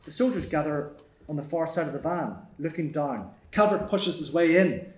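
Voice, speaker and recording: A man's voice: fairly high pitch, animated, moderate pace, slight background noise. A man reading out screenplay stage directions as the narrator at a table read. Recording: echoey room.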